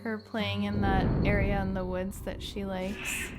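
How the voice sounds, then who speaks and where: A woman's voice: mid-range voice, flat delivery, unhurried, loud music behind the voice. Woman giving casual, rambling reaction commentary. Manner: informal, rambling, heavy use of "like". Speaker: gaming video creator.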